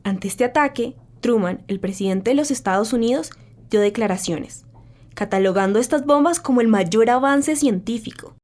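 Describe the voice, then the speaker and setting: A woman's voice: on the high side, normal speed, darkish tone, lightly acted. A woman playing a solemn radio newsreader of the 1940s, delivering scripted war news in a formal, grave, dramatic way.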